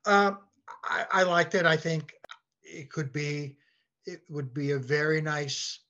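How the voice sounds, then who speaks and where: A man speaking as a podcast guest in a halting, conversational way, often repeating words as he goes. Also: a little high, steady tone, sharp tone, lo-fi sound. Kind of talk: conversational discussion.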